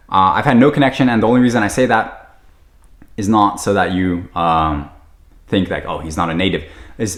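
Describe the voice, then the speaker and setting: A slightly low-voiced man talks through a course introduction in a loose, rambling way. He keeps stopping to correct himself and leans on "uh"s. The room around him sounds echoey. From United States. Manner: rambling, frequent "uh" fillers and self-corrections. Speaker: language teacher.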